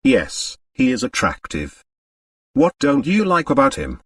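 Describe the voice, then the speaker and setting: A man takes the part of a young upper-class woman in a mystery audiobook. She is wry and detached, with a slow drawl that swoops up and down. The sound is dry. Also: medium pitch, wildly swooping pitch, no echo, distant mic. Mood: musing.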